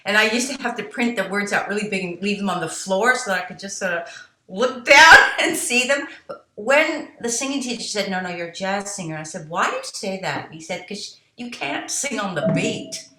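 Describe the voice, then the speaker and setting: Casting: middle-aged woman interview guest, bright forward voice, animated storyteller, roomy echo. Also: lowish voice. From United States. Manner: animated, tells stories with quoted asides and laughs. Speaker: interview guest, jazz singer and songwriter.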